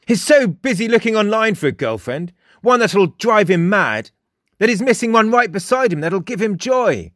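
A man's voice: sits high, sing-song, normal speed, fairly bright tone, dry recording, studio quality, pitched above the performer's own voice. A man voicing a smug, theatrical young male mystic in a storybook, declaiming with pompous emphasis. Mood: mocking. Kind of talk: audiobook character dialogue.

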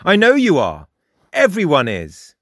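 A man's voice: sits high, wildly swooping pitch, a little slow, a bit bright, dry recording, studio quality, voice pushed up, exaggerated voice. A man voicing a smug, theatrical young male mystic in a storybook, declaiming with pompous emphasis. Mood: challenging. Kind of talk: audiobook character dialogue.

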